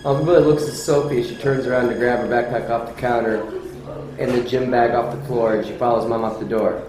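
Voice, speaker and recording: A man's voice: mid-range voice, fairly even intonation, fast, noisy background. A man leading a screenplay table read as filmmaker, reading directions and talking casually. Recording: very echoey room, loud music behind.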